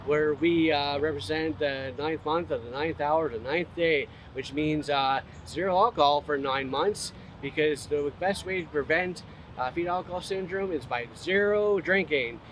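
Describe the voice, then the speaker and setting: A man with a somewhat high voice talks earnestly to the camera, stumbling through fillers as he makes his point. He is recorded outdoors, with steady traffic and street noise behind him. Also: moderate pace, poor audio. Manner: plain, earnest, many fillers and restarts. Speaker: motivational speaker, host. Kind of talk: casual heartfelt talk to camera.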